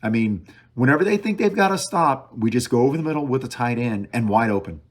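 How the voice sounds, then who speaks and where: A man's voice: mid-range voice, lively intonation, fast. A man hosting a sports talk show alone, casually reviewing a game as an opinionated fan, thinking aloud and hammering short phrases by repeating them. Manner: emphatic repetition of short phrases. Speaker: sports podcast host.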